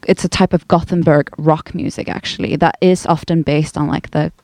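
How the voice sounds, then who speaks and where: A woman from Sweden talks casually in a dry studio setting. Her voice is lowish and gravelly with fry. She speaks informally and hesitantly, working out her thoughts as she goes. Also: steady tone, normal speed, a little mellow, no room sound. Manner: informal, hesitant, thinking aloud. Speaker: guest singer.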